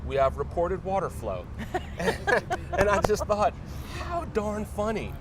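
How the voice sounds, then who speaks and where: A middle-aged man being interviewed, telling casual anecdotes in a halting, hesitant way. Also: wildly swooping pitch. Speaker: interview guest, newspaper media director. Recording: distant mic, clear background rumble.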